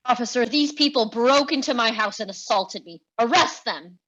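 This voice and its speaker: A woman's voice: fairly high pitch, expressive, moderate pace, highs cut off, background noise, close to an everyday voice. A woman playing a cornered cartoon villain, protesting and self-justifying in a melodramatic way. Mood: indignant.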